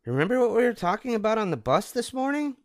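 A man's voice: high voice, big pitch swings, no room sound, clean studio sound, voice pushed up. Man doing a cheeky boy bear cub. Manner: quick wisecracking kid voice. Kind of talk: storybook read-aloud.

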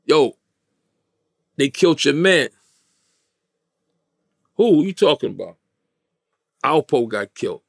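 A man in his fifties with a gravelly voice and a New York accent, telling a story in slangy, emphatic, streetwise interview talk. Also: on the high side, big pitch swings, slow pace, long pauses, dry recording, clean studio sound. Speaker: interview guest. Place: small indoor recording studio, dry sound.

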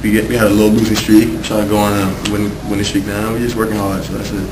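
A man's voice: lowish voice, little pitch movement, fast talker. A young man, a college basketball player, answering press questions in a casual, easygoing way. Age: young adult. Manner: casual, says "you know what I'm saying". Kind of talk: postgame press conference answers. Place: small room with team backdrop. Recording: echoey room, distant mic, background noise.

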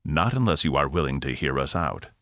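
Solemn alien guy in a metal suit, slow formal talk, low gravelly voice, narrator doing him. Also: animated, a bit bright, no echo, clean studio sound, heavily acted.

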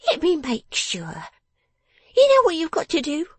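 A woman voicing a sharp-tongued, bossy, vengeful young woman in a storybook, with emphatic, snapping speech. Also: very high-pitched, huge pitch swings, measured pace, no echo, voice pushed up, full character voice. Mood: snapping, scolding. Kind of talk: dramatised storybook reading.

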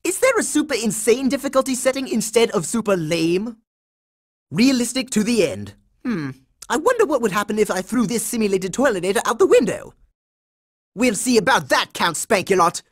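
A man's voice: high voice, very animated, moderate pace. A man voicing a bossy, heroic boy secret agent in a cartoon video game, dramatic and emphatic with a smug confidence. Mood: smug, confident.

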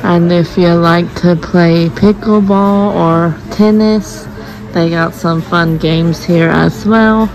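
A woman narrating a shopping vlog as she walks store aisles, reading out items and prices in an unhurried way with light chuckles, recorded on a handheld phone. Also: fairly low pitch, creaky, rough recording. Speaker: shopping vlogger. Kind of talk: casual shop-with-me narration. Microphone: phone camera held by the speaker.

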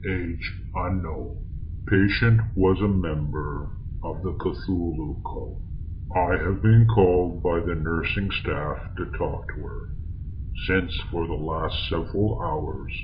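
Man acting a slow, low-voiced 1930s doctor. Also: lo-fi sound. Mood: solemn, clinical. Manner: formal, measured. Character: sober, methodical male 1930s doctor. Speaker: doctor and narrator. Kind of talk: old-time radio acting, audio journal narration. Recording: faint background noise.

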